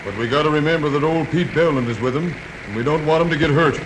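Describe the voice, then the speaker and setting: A man's voice: on the high side, fast, close to an everyday voice. A man playing a stern sheriff, hissy 1930s film. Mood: urgent. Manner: firm, commanding. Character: stern middle-aged male sheriff leading a posse.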